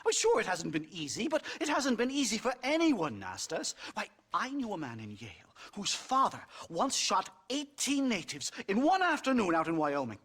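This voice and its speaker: An eccentric academic for a video game. His high, husky voice swoops about as he stammers and flits between thoughts. He is pompous and condescending, always excitable. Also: moderate pace, few pauses, full character voice. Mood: self-satisfied. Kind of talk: theatrical video game acting.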